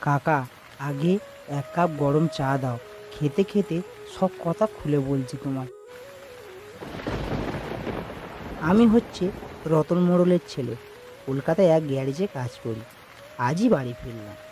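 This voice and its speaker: Cartoon village son, male narrator acting: earnest, eager homecomer, fairly high male voice, music underneath. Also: moderate pace, lightly acted. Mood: friendly. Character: earnest village son home from city work.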